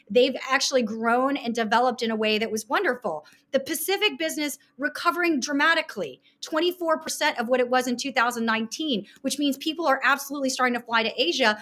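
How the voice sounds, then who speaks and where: High-voiced woman giving emphatic market commentary. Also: bright, forceful tone. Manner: emphatic, opinionated, informal. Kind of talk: informal market analysis over shared slides.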